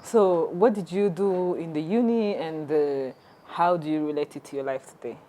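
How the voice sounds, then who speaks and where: A woman's voice: low-pitched, normal speed, dark tone, slight background noise. A young woman hosting an interview, asking rambling, chatty questions. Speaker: host. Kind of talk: casual interview.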